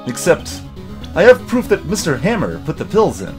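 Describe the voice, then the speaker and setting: A man's voice: a little high, huge pitch swings, moderate pace, faint music behind. Man playing an earnest young defense lawyer. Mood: earnest, pressing. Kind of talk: reading game dialogue aloud.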